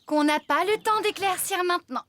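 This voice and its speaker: Very high-voiced brisk farmer. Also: female voice, fairly bright tone, a little hiss or hum. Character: brisk, kindly young woman farmer in a cartoon. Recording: music behind the voice.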